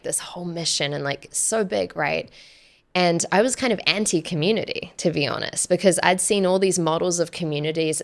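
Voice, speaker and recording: A woman's voice: deep voice, vocal fry. A woman in her thirties telling personal stories casually as a podcast guest, often saying "like" and quoting conversations, close on the mic. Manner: conversational, frequent "like" and quoted dialogue. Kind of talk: casual personal storytelling in an interview. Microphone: close podcast microphone on arm.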